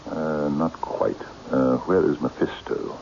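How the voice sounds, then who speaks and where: Very deep, gravelly, mysterious man. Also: fairly even intonation, slow, a little mellow, heavily acted. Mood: courteous, controlled. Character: mysterious eccentric man with cat, controlled, commanding, courtly. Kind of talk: old-time radio mystery acting. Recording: dull, noisy old radio recording.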